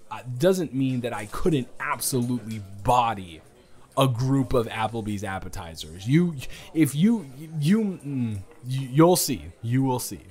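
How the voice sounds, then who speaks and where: A young man playing a shy, smitten date in an improvised romantic audio roleplay, hesitant and stammering, both teasing and earnest. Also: medium pitch. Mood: mock indignant.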